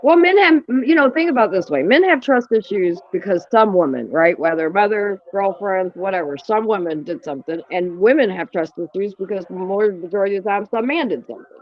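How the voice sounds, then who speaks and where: A woman's voice: mid-range voice, lively intonation, brisk, hardly any pauses, dull audio. A woman in her late forties hosting a casual livestream chat, speaking frankly with lots of swearing and fillers. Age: 40s. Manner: frank and profane, talks with many fillers. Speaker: livestream host.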